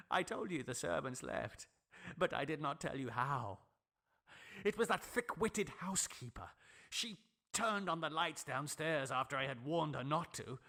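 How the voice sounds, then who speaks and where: A man's voice: on the high side, gravelly, a bit bright, heavily acted. A man voicing a crazed, gloating, obsessed scientist with theatrical, emphatic menace in an audiobook horror story. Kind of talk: dramatic audiobook character acting.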